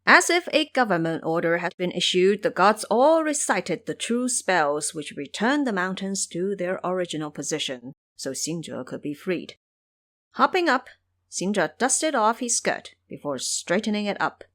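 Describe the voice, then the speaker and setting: A woman narrating a scripted audio drama as an expressive storyteller. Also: fairly low pitch, unhurried.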